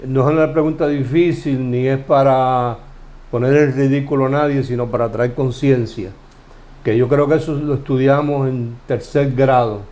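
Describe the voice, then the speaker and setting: A man from Cuba with a Cuban Spanish accent, explaining Bible passages in a relaxed study conversation, asking questions and restarting phrases as he goes. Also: medium pitch, moderate pace. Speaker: Bible teacher.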